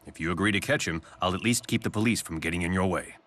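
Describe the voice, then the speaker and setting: A man's voice: sits low, fast talker, a bit bright. A man voicing a suave, calculating corporate chief in a video game, with polished, condescending speech. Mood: coolly persuasive. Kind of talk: English video game voice acting.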